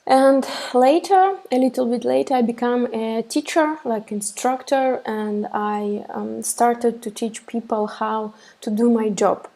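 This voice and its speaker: Woman in her thirties, webinar instructor on a webcam, slow and gravelly, halting delivery full of fillers. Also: on the high side, mellow. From Russia. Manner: hesitant, many fillers and restarts. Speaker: web development instructor. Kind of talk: live webinar presentation. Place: small room indoors. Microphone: webcam on a laptop.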